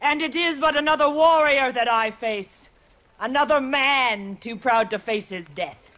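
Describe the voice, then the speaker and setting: Amazon warrior queen, proud, fearless, bright declamatory voice, dramatic game acting. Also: high voice, measured pace. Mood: defiant. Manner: defiant, declamatory.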